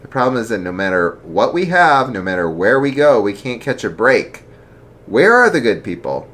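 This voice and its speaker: A man's voice: medium pitch, sing-song, moderate pace, a bit bright. A storyteller voicing an anxious young man who speaks earnestly and with worry, close to their own voice. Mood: worried.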